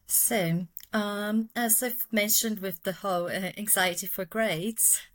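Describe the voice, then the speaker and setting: A woman's voice: medium pitch, steady tone, slow, a bit bright. A Brazilian woman, a neuroscientist guest, answering interview questions carefully with many fillers and self-corrections. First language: Portuguese. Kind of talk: casual interview talk.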